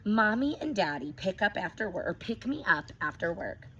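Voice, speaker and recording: A woman teacher reading a picture book aloud to young children, expressive, stopping to ask them questions. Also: medium pitch, normal speed, muffled recording, slight background noise.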